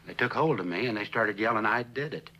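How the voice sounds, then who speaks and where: An actor playing a defensive working-class handyman giving blunt, ungrammatical, halting testimony in a television courtroom drama. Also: on the low side, pushed and bright, poor audio. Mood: defensive, sullen. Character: defensive, plain-spoken working-class building handyman on trial.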